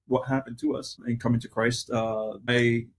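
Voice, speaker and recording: A man from Colombia and New Jersey, a pastor, sharing his personal story conversationally as a guest, reflective and pausing to search for words. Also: mid-range voice, little pitch movement.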